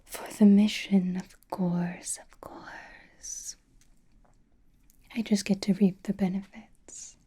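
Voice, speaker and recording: A woman voicing a doting, teasing vampire in a breathy ASMR whisper, coy and theatrical, with lingering phrasing. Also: mid-range voice, flat delivery, slow pace, pauses often, mellow. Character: flirtatious, doting, teasing female vampire companion.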